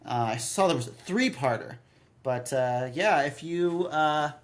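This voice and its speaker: A man co-hosting a podcast in casual review chat, halting and repeating words as he thinks. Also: on the high side, animated. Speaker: podcast co-host.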